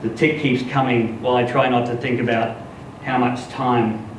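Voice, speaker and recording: A man giving an expressive live reading of a first-person literary story, acting out the dialogue as he goes. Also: mid-range voice, normal speed. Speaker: story reader. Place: live reading event in an echoey room. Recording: echoey room, distant mic, noisy.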